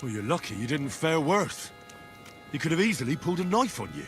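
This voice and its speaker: A man's voice: on the high side, sing-song, a little fast, a bit bright, faint music behind. An actor playing a wary, good-natured young man, a reluctant accomplice with an earnest, cautious manner in a video game. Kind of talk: dramatic video game voice acting.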